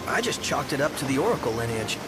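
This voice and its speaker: An actor playing an excitable, chatty young man in a video game, eager and informal. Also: mid-range voice, quick pace, lightly acted, poor audio. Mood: curious, chatty. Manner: eager, informal, wide-eyed. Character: boyish, excitable, chatty young travelling companion. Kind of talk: video game cutscene acting. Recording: voice over loud game music.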